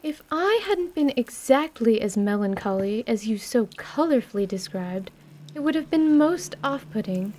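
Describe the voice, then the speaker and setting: A woman's voice: a little high, lively intonation, moderate pace, a little mellow, slight background noise, natural voice. A woman playing a prim, melancholy young noblewoman adventurer with genteel, formal phrasing in a fantasy radio drama. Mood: indignant. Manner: formal, genteel, fussy wording. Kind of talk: radio-theatre fantasy role-play acting.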